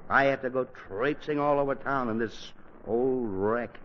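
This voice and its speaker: A man's voice: medium pitch, normal speed, natural voice. An actor playing a flustered, irritable middle-aged father in a 1940s radio comedy. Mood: irritable, blustering. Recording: scratchy, muffled, noisy 1940s radio broadcast.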